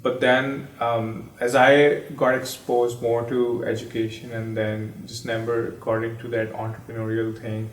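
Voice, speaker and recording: A man's voice: medium pitch, monotone, unhurried, hardly any pauses. A man in his thirties from Pakistan, sharing a personal story in a hesitant, reflective way with many fillers and restarts. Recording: echoey room, distant mic.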